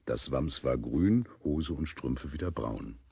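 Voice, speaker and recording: A man narrating a children's audio drama as an amused storyteller, with a gravelly, husky voice. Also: rumbling low, lively intonation, takes it slowly, dark tone, dry recording, heavily acted. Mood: amused storytelling. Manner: storyteller addressing young listeners.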